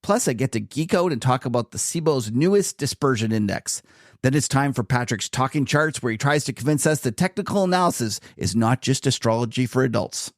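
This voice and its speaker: A man's voice: mid-range voice, lively intonation, no echo. A chatty, enthusiastic man co-hosts a podcast and leads an interview in a mid-range voice. The sound is dry and close, with no room around it. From Canada. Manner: chatty, enthusiastic, quick to laugh. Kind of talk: casual podcast chat and interview.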